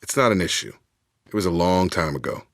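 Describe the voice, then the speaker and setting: Deep-voiced, plain-spoken soldier. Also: male voice, expressive, brisk, a bit bright, no echo, studio quality. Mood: matter-of-fact, guarded. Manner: plain-spoken, clipped military phrasing. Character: steady, principled male soldier, loyal yet guarded, plain-spoken realist. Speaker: video game squadmate and security officer. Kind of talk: video game voice acting.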